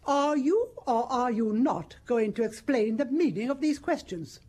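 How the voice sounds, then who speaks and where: Casting: an imperious older mother, husky and animated, protective to the bone. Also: fairly high pitch, unhurried. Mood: defiant. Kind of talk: radio drama acting.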